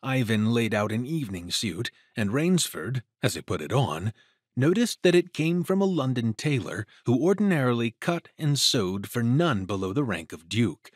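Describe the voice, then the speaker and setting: A man narrates a dramatic story in a husky, mid-pitched voice. His delivery is very expressive, in a close, dry recording. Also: normal speed, no room sound.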